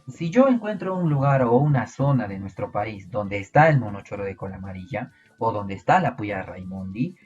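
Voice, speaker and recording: A Peruvian man lectures his students in a slightly husky voice, walking them through a lesson and tossing out questions. The recording sounds dull and lo-fi, with faint music underneath. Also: medium pitch, a little mellow. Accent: Peruvian Spanish. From Peru. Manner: explanatory, asks rhetorical questions to students. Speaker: biology teacher. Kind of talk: online class lecture.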